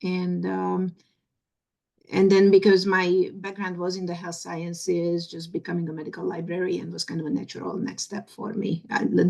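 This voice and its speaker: Casting: woman manager on a panel, voice slightly on the low side, speaking informally and haltingly. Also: vocal fry, a little mellow. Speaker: panelist, medical library manager.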